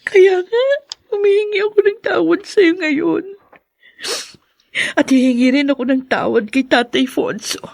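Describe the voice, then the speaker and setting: A woman's voice: very high-pitched, unhurried, creaky, darkish tone, no room sound, exaggerated voice. A woman acting a remorseful, earnest young daughter in a radio drama, speaking with pleading emotion.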